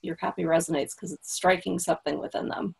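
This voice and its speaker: Podcast guest, woman, gravelly husky voice, low-ish, practical explainer. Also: fairly even intonation, fast. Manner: practical, explanatory, frequent "right?". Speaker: copywriting guest. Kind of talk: casual podcast interview.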